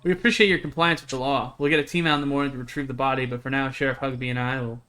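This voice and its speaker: Man playing a stiff, officious deputy, bright voice. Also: mid-range voice, steady tone, racing, smooth, natural voice. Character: serious, officious male deputy, curt and formal.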